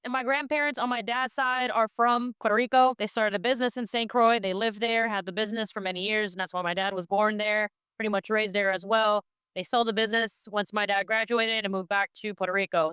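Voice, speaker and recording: Woman, racing driver vlogging, chatty and upbeat, slightly high pitch, dry close sound. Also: sharp tone, clear, resonant tone, no room sound. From United States. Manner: upbeat and chatty, addresses viewers directly. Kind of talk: casual vlog talk to camera. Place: race shop garage.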